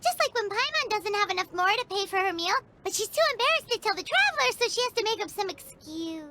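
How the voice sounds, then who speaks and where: A woman voicing a tiny, chirpy fairy girl companion in an anime game, with a squeaky childlike voice and an excitable, curious manner. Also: background noise. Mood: curious, chatty. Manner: excitable, refers to herself by name.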